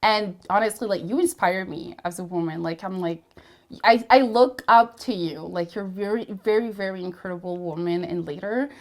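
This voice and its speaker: A woman's voice: mid-range voice, normal speed. A woman in her thirties, a native Spanish speaker, hosting a casual interview and gushing with praise, with frequent "like" fillers. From Latin America, Spanish-speaking. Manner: effusive, many "like" fillers. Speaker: host.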